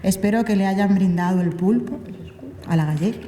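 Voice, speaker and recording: A woman's voice: mid-range voice, normal speed, dark tone. A woman moderating a panel with playful, informal live introductions. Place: indoor panel event hall. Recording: echoey hall, distant mic, background noise.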